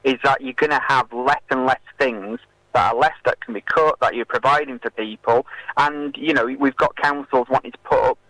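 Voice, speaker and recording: Halting male political interviewee, dry close sound. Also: medium pitch, fairly even intonation, pushed and bright, no echo, rough recording. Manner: hesitant, halting with many filled pauses. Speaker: political activist guest. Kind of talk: radio interview, political argument.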